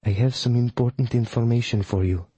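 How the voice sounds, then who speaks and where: A man's voice: mid-range voice, fairly even intonation, brisk, mellow, slightly rough, dry recording. A man voicing a proud female witch who leads a coven, speaking with a Polish accent in a formal, clipped, disdainful way.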